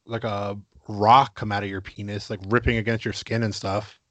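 A man co-hosting a casual comedy podcast, crude and boastful, with slow, emphatic bragging. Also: fairly low pitch, level tone, fairly bright tone, dry recording. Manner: crude, boastful mock-macho bits, slow emphatic delivery. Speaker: podcast co-host.

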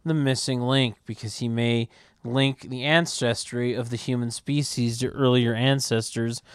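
A man's voice: mid-range voice, fairly even intonation, unhurried, clear, resonant tone. A man reading stage directions as narrator at a comedy table read, in a wry, mock-formal manner.

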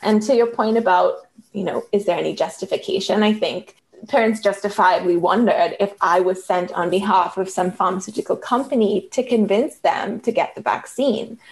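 Trinidadian woman, researcher, measured reflective storytelling, slight vocal fry. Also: mid-range voice, rough recording. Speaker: graduate researcher. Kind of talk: conversational interview storytelling.

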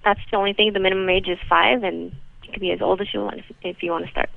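A woman's voice: medium pitch, fairly even intonation, racing, vocal fry, no echo. A woman on a muffled phone line, patiently and a little hesitantly explaining classes as a receptionist. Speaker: karate school receptionist. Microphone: telephone. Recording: muffled narrow-band telephone line.

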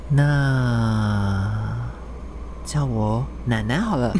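A man's voice: mid-range voice, lively intonation, extremely slow, darkish tone, echoey room. A man playing a sweet, easily flustered young male dentist in a romantic radio drama, stammering and dreamy. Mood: bashful. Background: prominent music.

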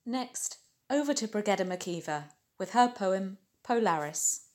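A woman's voice: mid-range voice, lively intonation, measured pace, darkish tone. A woman podcast presenter giving short scripted introductions to readers.

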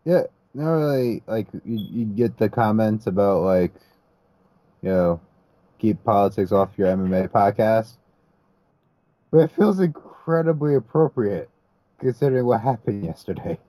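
A man's voice: lowish voice, expressive, measured pace, mellow, dry recording, poor audio. A man co-hosting a casual podcast, talking hesitantly with many restarts and repeated words. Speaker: podcast co-host.

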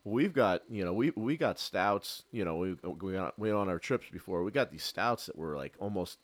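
A man's voice: low-pitched, expressive, normal speed. A man chatting casually as a podcast co-host, agreeable, often hesitating and repeating himself. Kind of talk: casual chat.

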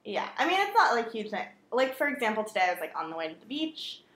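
A woman co-hosting a podcast, upbeat and quick to laugh. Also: a little high, sing-song, a bit bright. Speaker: podcast co-host. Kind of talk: casual chat. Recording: echoey room.

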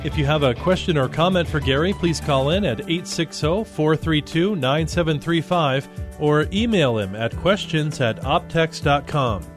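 A man reads a scripted radio show introduction in a smooth promotional style, with a somewhat high voice. A loud music bed plays underneath the whole read. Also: moderate pace. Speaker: show announcer.

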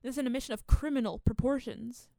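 A woman's voice: fairly high pitch, fairly even intonation, fast talker, vocal fry, dark tone, no room sound. A woman acting in a radio play as a determined, indignant investigator.

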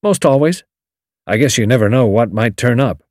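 A man voicing a confident, excitable young American adventurer with a slangy drawl, in an audiobook story. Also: medium pitch, moderate pace, dry recording, clean studio sound, lightly acted. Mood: easygoing.

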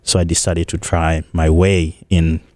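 Deep-voiced Congolese man, mellow tone. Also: little pitch movement, dry recording. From eastern Congo. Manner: measured, pauses often with fillers. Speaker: guest. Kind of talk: interview conversation.

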